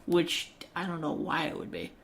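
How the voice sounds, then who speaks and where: A woman's voice: low-pitched, sing-song, normal speed, creaky, husky. A woman co-hosting a gaming podcast, chatting informally and acting out short quotes. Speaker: podcast co-host. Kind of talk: casual gaming podcast chat.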